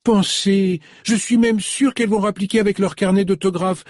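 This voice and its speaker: A man's voice: sits high, fairly even intonation, normal speed, darkish tone, no room sound, studio quality, voice pushed up, natural voice. A man voicing a cheerful, chatty young female shop assistant in an audiobook. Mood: eager. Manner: chatty, eager, exclamatory.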